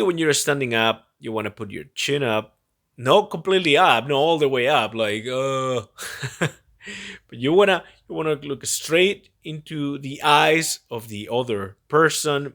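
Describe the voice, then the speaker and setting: Halting man, bright voice. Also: medium pitch. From born in Peru, grew up in Spain. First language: Spanish. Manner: halting and rambling, frequent self-repetition. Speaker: podcast host. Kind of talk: casual solo podcast monologue.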